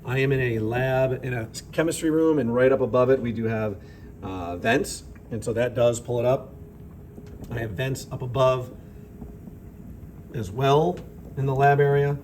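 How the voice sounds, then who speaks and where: Slightly raspy male chemistry teacher. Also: medium pitch, moderate pace, long pauses, slight background noise. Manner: relaxed, explanatory, thinking aloud with fillers. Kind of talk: informal lab demonstration narration. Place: school chemistry lab with vents. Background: ventilation fans running.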